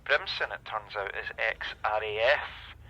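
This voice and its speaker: An actor playing a hesitant, confiding police inspector in a radio drama, speaking through a telephone filter. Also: male voice, on the low side, slow pace, pushed and bright, muffled recording, full character voice.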